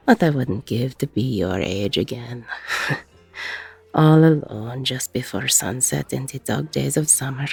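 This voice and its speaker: A woman playing a weary, protective middle-aged ex-con bar owner in an intimate audio roleplay, with a husky, gravelly voice. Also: very deep voice, dry recording, full character voice. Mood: weary, fond.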